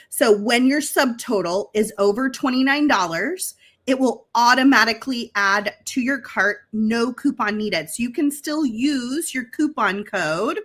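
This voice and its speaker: A woman co-hosting a craft livestream from home, teasing her co-host with incredulous questions before running through a store deal. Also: a bit bright. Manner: teasing, incredulous questions, then brisk sales pitch. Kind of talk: casual chat and shop promotion.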